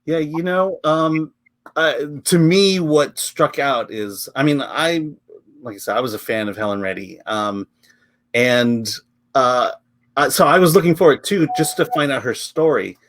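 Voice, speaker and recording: A man hosts a relaxed film review chat at an ordinary mid-range pitch. He speaks loosely, with plenty of fillers and easy laughter between points. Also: measured pace. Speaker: film review show host.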